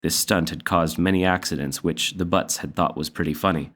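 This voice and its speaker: A man with a low-pitched voice reads a children's story aloud. He delivers it as scripted storybook narration. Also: fairly even intonation, brisk, fairly bright tone. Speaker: story reader.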